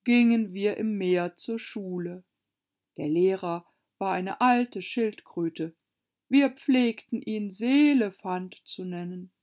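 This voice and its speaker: A gloomy old female turtle creature from a storybook, acted out by a woman, drags out each phrase in a hollow, sighing voice. She is mournful and quick to take offence. The recording is slightly muffled. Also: darkish tone, close to an everyday voice. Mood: wistful. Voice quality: deep, hollow voice. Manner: slow, drawn out, sighing.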